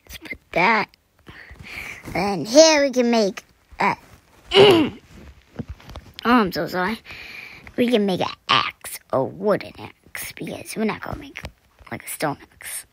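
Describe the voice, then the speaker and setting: A young woman chatting through a survival game, her voice scratchy this morning, clearing her throat and often dropping to a whisper. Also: mid-range voice, sing-song, takes it slowly, long pauses. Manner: chatty, self-deprecating, often drops to a whisper. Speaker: gaming channel host. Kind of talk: casual gameplay commentary.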